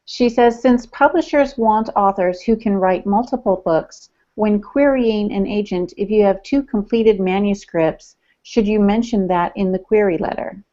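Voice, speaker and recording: Mellow female voice, middle pitch, casual podcast co-host, lo-fi sound. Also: measured pace, few pauses. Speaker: podcast co-host reading chat questions. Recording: dull, lo-fi podcast audio.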